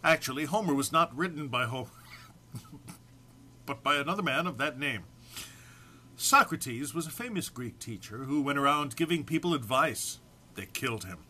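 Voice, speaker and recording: A man reads comic prose in a bright, fairly high voice. His delivery is theatrical and very animated, with emphatic, drawn-out phrases and an amused edge. The recording carries faint noise. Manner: amused, prone to breaking into laughter. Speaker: reader of a humorous text. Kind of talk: dramatic comic reading of a written text.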